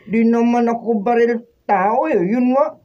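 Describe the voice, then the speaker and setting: Woman playing a slow, high, childlike innocent youngster. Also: dull audio, lightly acted. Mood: innocent, reassuring. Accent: Filipino. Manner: halting, simple, childlike speech. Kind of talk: Filipino radio drama acting.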